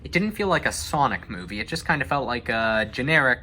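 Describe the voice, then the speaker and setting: A man hosting a casual film discussion, talking in a halting, conversational way. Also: mid-range voice, animated, pushed and bright, slight background noise. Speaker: host.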